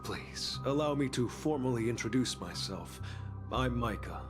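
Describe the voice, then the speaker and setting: A man playing a modest, courteous gravekeeper in a video game, speaking in a calm, measured, understated way. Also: medium pitch, music in the background, lightly acted. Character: modest courteous middle-aged gravekeeper, calm guide of exiles. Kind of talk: dubbed video game story dialogue.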